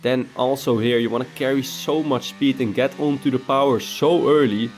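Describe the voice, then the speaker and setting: A man's voice: mid-range voice, steady tone, moderate pace, faint music behind. A man, talking through his racing game laps in a laid-back, chatty voice-over while nursing a cold. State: has a cold. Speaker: racing game content creator. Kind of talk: casual gameplay commentary voice-over.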